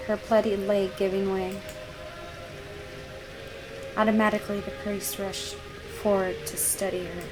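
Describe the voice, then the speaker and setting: A woman's voice: mid-range voice, noisy background. A woman gaming host reading a visual novel aloud as narration.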